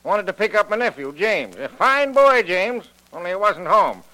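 A sickly old businessman in a vintage radio play, high-pitched and swinging widely in tone. He is querulous, curt and suspicious, grumbling at everyone. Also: lively intonation, normal speed, low-quality recording. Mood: grumbling, defensive. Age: older. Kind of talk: 1940s radio drama acting. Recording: old bright radio drama recording.

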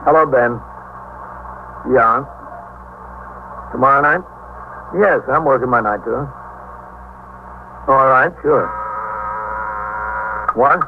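Police captain, American, steady, measured, courteous, 1950s radio drama, narrow tinny band, faint hum. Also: male voice, mid-range voice. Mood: courteous, concerned. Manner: businesslike, measured.

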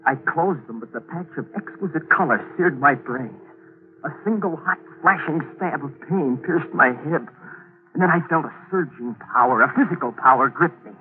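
A man's voice: fairly high pitch, expressive, moderate pace, natural voice. An actor playing a haunted man who narrates his own grim confession in a 1940s radio drama. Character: haunted colorblind man recounting a killing. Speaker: narrator and protagonist. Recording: tinny old radio broadcast.

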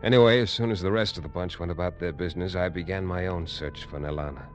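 An actor playing a hard-boiled American adventurer who narrates wryly in first person in a 1940s radio drama. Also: male voice, low-pitched, fast, faint music behind, lightly acted. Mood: brooding. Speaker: narrator and lead.

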